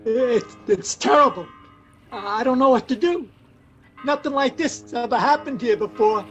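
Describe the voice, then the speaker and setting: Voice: mid-range voice, a little slow, fairly bright tone, muffled recording, soft background music, lightly acted. An actor playing a frightened, flustered working-class night watchman who stammers, in old-time radio drama style. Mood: dazed, anxious. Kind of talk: 1940s radio detective drama acting.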